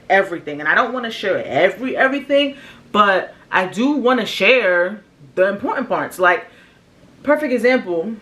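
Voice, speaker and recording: An American woman in her early thirties speaks in a slightly low voice, as a vlogger would. She reflects out loud in a casual, candid way, with slangy phrasing and a conversational flow. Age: early 30s. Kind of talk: casual personal reflection to viewers.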